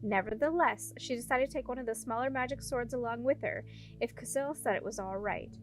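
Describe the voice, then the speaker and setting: A woman with a high-pitched voice narrates a children's fantasy audiobook. She reads from a script in storybook style, with faint music underneath. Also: brisk. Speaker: audiobook reader.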